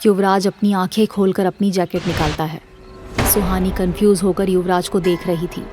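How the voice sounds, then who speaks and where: A woman narrates a scripted drama story. Her voice is slightly low and keeps to a very even, flat pitch, with soft music underneath. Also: normal speed.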